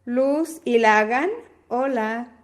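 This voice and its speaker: An Argentine woman hosting a live online webinar over a video call, greeting attendees one by one in an unhurried way. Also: fairly high pitch. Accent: Argentine Spanish. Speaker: webinar host.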